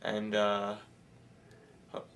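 A man's voice: fairly low pitch, monotone, very slow, a bit bright, far from the mic, slight background noise. A young man vlogging casually with a handheld camera as he walks through a hotel and talks in his room, rambling with fillers. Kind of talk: casual handheld vlog narration. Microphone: handheld camera mic.

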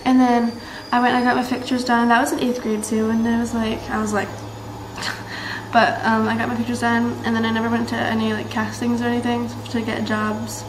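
A teenage girl from Massachusetts chatting to her webcam in a bright room, rambling and bubbly with lots of fillers. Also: on the high side, little pitch movement, normal speed. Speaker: vlogger. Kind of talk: casual chat to camera. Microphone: built-in camera mic, close webcam framing. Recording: roomy echo, faint background hiss.

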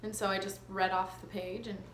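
Canadian actress chatting in an interview, kinda hesitant and self-deprecating, echoey room w some background noise. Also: female voice, mid-range voice, flat delivery, a little fast, fairly bright tone. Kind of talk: casual conversational interview. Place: living room at home.

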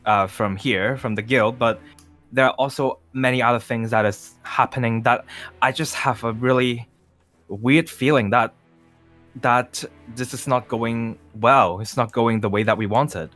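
A mid-pitched man acting as an uneasy young time traveler in a Minecraft roleplay. He stutters and fumbles his evasions over faint music. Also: steady tone, a little slow, fairly bright tone, natural voice. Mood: troubled, hesitant. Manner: hesitant, stuttering, filler-heavy.

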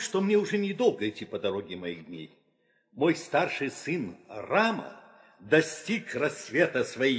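An actor playing a weary, authoritative old king and father, solemn and declamatory in a theatrical audio play. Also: a little high. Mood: resolute. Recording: reverberant 1960 audio play record.

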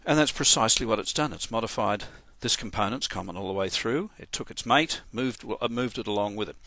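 A man with an Australian accent talking through a software tutorial over his screen, in a casual, halting way with many fillers and restarts. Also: lowish voice, no room sound. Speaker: software trainer.

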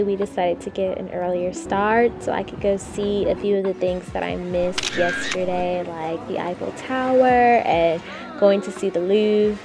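A woman in her twenties vlogging casually, slightly nasal from a cold, full of "like" fillers, filming at arm's length. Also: on the low side. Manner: chatty, many "like" fillers. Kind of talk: casual travel vlog. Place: hotel room and shop, indoors. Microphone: handheld camera at arm's length.

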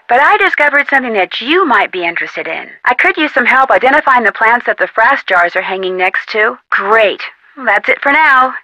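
A woman's voice: a little high, pushed and bright, no room sound, low-quality recording. A young woman with an American accent playing a friendly, inquisitive amateur detective in an adventure game, chatty and polite. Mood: upbeat, helpful. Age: young adult. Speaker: video game character voice actor. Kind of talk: scripted adventure game dialogue, phone-call delivery.

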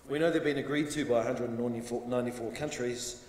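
A man in his forties delivers a formal welcome, measured and steady, letting each phrase fall away at its end. His mid-range voice is picked up from a distance. A long hall reverb and faint hiss surround him. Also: mid-range voice. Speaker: university welcome speaker. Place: conference room, at a lectern.

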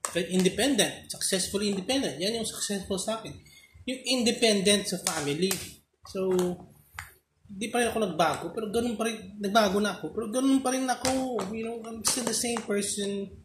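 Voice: mid-range voice, a little fast. A person in their thirties with a Filipino accent, a native Filipino speaker, chatting casually and ramblingly while reviewing a snack, often repeating phrases. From Philippines. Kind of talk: casual chat, mixing Tagalog and English. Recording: roomy echo, noisy background, clicks.

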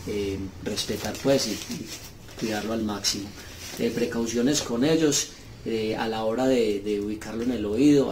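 Man explaining over clear background noise. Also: fairly low pitch, a little mellow. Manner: halting, step-by-step phrasing with pauses. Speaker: seller demonstrating referee intercom gear. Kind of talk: hands-on tutorial, unscripted explanation. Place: small indoor room at a table.

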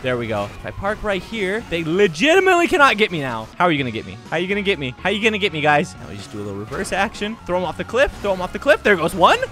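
A young man with a high, wildly swinging voice plays a role-play game. He talks in excitable, repetitive bursts over background music. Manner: frantic, repeats phrases rapidly. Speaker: gaming video creator playing a role-play game. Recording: music behind the voice.

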